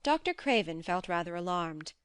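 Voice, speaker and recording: A woman's voice: fairly low pitch, big pitch swings, moderate pace, clean tone, no echo, clean studio sound. A woman reading scripted audiobook narration as the narrator of a classic story.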